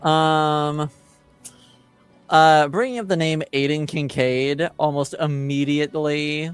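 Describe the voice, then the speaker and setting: A man running a tabletop roleplaying game, narrating off the cuff and haltingly as he searches for words. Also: on the high side, fairly even intonation, clear, resonant tone, dry recording, faint music behind. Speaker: game master.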